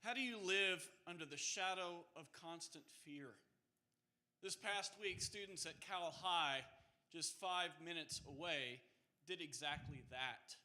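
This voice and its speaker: Man, earnest personal speech, very bright voice, slow deliberate delivery. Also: fairly high pitch. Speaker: workshop participant giving a speech.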